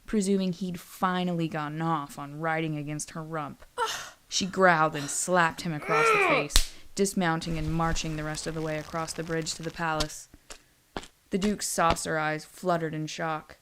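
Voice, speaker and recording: Low-voiced woman narrating a story. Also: noisy background. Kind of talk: scripted fantasy story narration.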